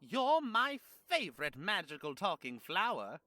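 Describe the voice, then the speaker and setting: A cheerful, naive skeleton guard, voiced by a man talks with eager self-assurance. His bright voice leaps across a wide range and takes its time. It is an audio drama performance. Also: high-pitched, big pitch swings, a little slow, no echo, exaggerated voice. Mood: earnest.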